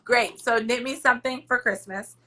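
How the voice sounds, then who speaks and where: A woman hosting a podcast, talking loudly and emphatically in an upbeat, encouraging way, with a raspy voice. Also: fairly high pitch, steady tone, moderate pace, slight background noise. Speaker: podcast host. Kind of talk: encouraging casual interview chat.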